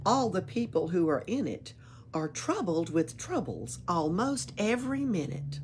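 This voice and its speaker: Expressive woman reading a storybook. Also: deep voice, a little hiss or hum. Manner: expressive, playful, adds asides and chuckles. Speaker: library storytime reader. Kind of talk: reading a picture book aloud.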